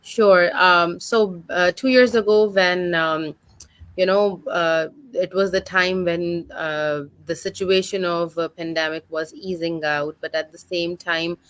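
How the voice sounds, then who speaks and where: A woman in her thirties from Pakistan speaks over a video call as a guest, friendly and fluent, with plenty of filler uhs. Her voice sits a little low. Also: steady tone, measured pace, fairly bright tone. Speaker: guest, digital business mentor. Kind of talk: online interview, personal story.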